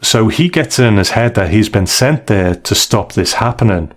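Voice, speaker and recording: A man co-hosting a casual podcast discussion, analysing stories in a measured, thoughtful, hedging way. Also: lowish voice, animated. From outside the United States. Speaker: podcast co-host.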